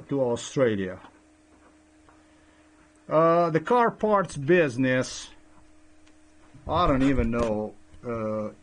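Middle-aged guy, english not his first language, rambling into a desk webcam, lots of uhs and restarts. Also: medium pitch. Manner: hesitant, many fillers and restarts. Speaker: vlogger. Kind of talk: rambling screen-share commentary. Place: small indoor room.

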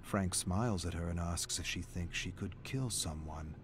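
Low-voiced man narrating a dark story. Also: normal speed. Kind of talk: scripted story narration, reading aloud.

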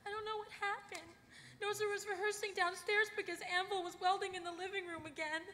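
A woman as a very high-voiced, bewildered sick girl. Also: steady tone, fairly quick, sharp tone. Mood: weak, confused. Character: weak, bewildered, sweet young woman on a sickbed. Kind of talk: live table read of a TV script. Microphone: table microphone on a panel. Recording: echoey hall, background noise, stage microphone.

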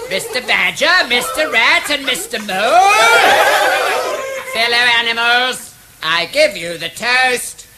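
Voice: medium pitch, noisy background. An actor playing a gloating weasel leader making a speech in a radio drama. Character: gloating male weasel leader singing and toasting at banquet. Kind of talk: BBC radio drama acting. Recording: 1970s radio play with music behind.

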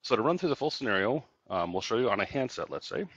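A man's voice: on the low side, animated, fast, a bit bright, slightly rough, no echo, lo-fi sound. A man narrating a casual product tutorial as a company trainer, explaining things step by step.

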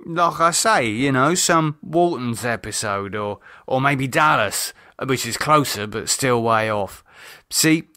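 Audiobook character, male reader as sardonic drunken man, halting, sneering, slow, dry close sound. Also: mid-range voice, lively intonation, sharp tone, no echo, heavily acted. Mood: bitter, despairing. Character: bitter drunk man, sardonic and self-loathing.

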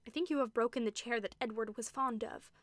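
A woman's voice: a little high, a little fast, no room sound, lightly acted. A woman voicing a stern, tightly self-controlled woman who speaks curtly and dryly. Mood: tense curt. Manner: curt, dry, rigid.

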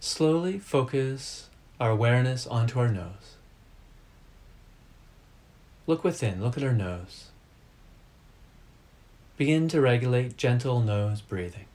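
A man leading a guided meditation as a teacher, giving calm, deliberate instructions in short, measured phrases. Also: mid-range voice.

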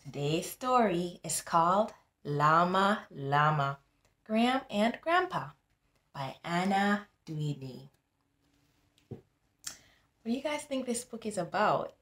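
A woman tutor reads a picture book to small children in an echoey room. Her voice is on the low side and swings expressively in pitch. She goes very slowly and breaks off to explain things directly to her young listeners. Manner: animated, instructive, addressing young children directly. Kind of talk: children's read-aloud with teaching asides.

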